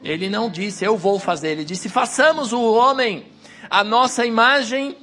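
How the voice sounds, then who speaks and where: Hoarse high-voiced male preacher. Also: moderate pace, bright, forceful tone. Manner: exhorting the congregation, rising to emphatic peaks. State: hoarse with a cold. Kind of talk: sermon. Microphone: wireless handheld mic.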